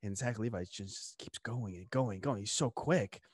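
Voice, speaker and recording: Young male podcast host, lively casual interviewer, dry studio mic. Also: lowish voice, moderate pace, breathy edge, no room sound. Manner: animated, talks with his hands. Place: home studio room. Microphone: studio mic on boom arm, headphones.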